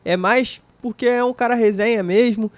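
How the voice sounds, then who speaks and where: A man's voice: very high voice, steady tone, moderate pace, no echo. A man with a Brazilian accent hosting a fan channel, giving chatty, slangy reaction commentary. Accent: Brazilian Portuguese. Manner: chatty, slangy, enthusiastic praise.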